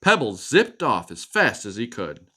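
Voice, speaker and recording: A man reading a children's picture book aloud as a storytelling father, expressive and explaining things to a child. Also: medium pitch, unhurried, husky.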